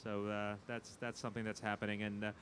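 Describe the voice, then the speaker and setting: A man being interviewed as a film producer, chatting casually and haltingly, often repeating his words. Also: lowish voice, completely flat delivery, a bit bright, a little hiss or hum.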